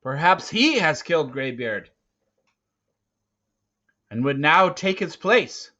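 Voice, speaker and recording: A man voicing angry, forceful prehistoric clan hunters who accuse, in a storybook reading. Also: fairly high pitch, very animated, takes it slowly, pitched above the performer's own voice, exaggerated voice. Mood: outraged accusing. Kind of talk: storybook read-aloud character voice. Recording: dull, lo-fi recording.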